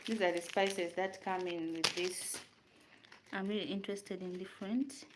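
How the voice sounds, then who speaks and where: A woman's voice: medium pitch, steady tone, normal speed. Chatty Ugandan woman cooking on camera. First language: Luganda. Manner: chatty, answers viewer questions while cooking. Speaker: vlogger. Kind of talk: casual chat while cooking. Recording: noisy home recording.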